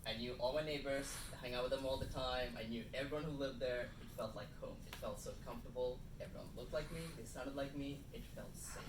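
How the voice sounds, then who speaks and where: A man's voice: mid-range voice, fairly even intonation, fast talker, hardly any pauses. A man from India and Singapore giving a conversational, emphatic storytelling speech to a live audience. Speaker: public speaker. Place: indoor room with an audience, recorded from off. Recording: distant mic in echoey, noisy room.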